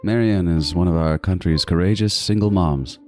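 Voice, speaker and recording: An extremely deep male voice for a cold, obsessive killer, acted by a man, telling his own horror story. He is deliberate and brooding, gloating with quiet menace over clear background music. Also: close to an everyday voice. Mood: calculating. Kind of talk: first-person horror audio drama narration. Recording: music bed under the voice.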